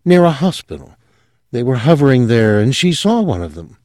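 A man playing a young woman, breathless, rapturous, higher and hushed. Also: very animated, moderate pace, dry recording, studio quality, natural voice. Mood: thoughtful.

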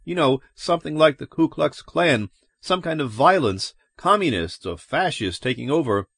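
A man's voice: mid-range voice, measured pace, dry recording, studio quality, voice pushed up. A male narrator voicing an agitated, bewildered ordinary man in a dramatic story reading. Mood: relieved, nervous. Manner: urgent, pleading, excitable.

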